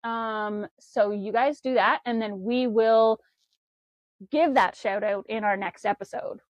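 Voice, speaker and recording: A woman's voice: a little high, steady tone, measured pace, no echo, highs cut off. A woman co-hosting a casual podcast from home, chatty and rambling, laughing easily. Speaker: podcast co-host. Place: home with a wood stove.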